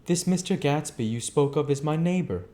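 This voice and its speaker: An innocent, polite young man in an audiobook , acted out by a male reader. He speaks earnestly and naively, lifted above a normal talking pitch. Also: expressive, normal speed, warm tone, natural voice. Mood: innocent puzzlement.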